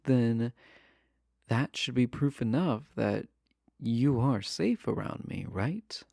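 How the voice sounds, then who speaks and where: A man's voice: mid-range voice, vocal fry, darkish tone, no echo, studio quality, full character voice. A man playing a charming, sly villain prisoner in an intimate roleplay audio, talking in a coy, flirtatious, casual way. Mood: earnest, hopeful.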